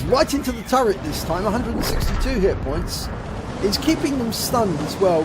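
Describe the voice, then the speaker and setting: A man commentating on a tank game replay, a bit rough and nasal from a cold, explaining each shot and the damage it does. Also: high-pitched, very noisy. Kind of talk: gameplay commentary over a replay. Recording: loud game battle sounds behind voice. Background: tank game gunfire and engines.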